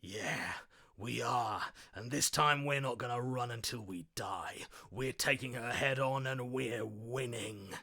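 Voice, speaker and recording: An actor playing a stubborn, combative fighter's inner voice in a video game, gravelly and forceful. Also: male voice, medium pitch, measured pace, heavily acted. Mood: defiant, combative. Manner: forceful, pugnacious. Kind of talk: video game character voice acting.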